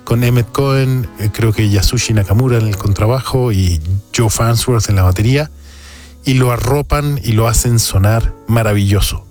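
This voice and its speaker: A man's voice: medium pitch, moderate pace, darkish tone. A man, hosting a jazz radio show with casual, reflective, opinionated music commentary. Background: music playing behind the voice.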